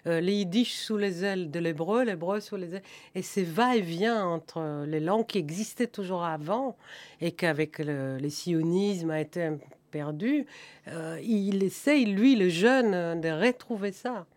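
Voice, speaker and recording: Lower-voiced woman guest, dry sound. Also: unhurried, darkish tone, no echo. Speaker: guest filmmaker. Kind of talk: radio discussion.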